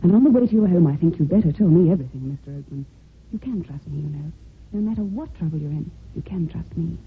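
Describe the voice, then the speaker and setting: Woman playing a caring office lady, expressive pitch, old muffled radio recording. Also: quick pace, background noise, natural voice. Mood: concerned, coaxing. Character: concerned, caring female office employee. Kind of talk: old-time radio drama acting.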